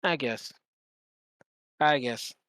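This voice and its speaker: Podcast host, mid-pitched and crisp, slangy and sweary storyteller, animated, performs quoted dialogue, dry close sound. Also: extremely slow, no echo. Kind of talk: casual storytelling in conversation.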